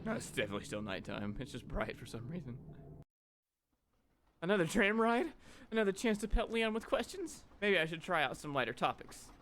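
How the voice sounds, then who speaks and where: A man's voice: a little high, very animated, fairly quick, a bit bright. A man voicing a chatty, sarcastic young woman in a comedic game fan dub, full of questioning banter. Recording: background noise.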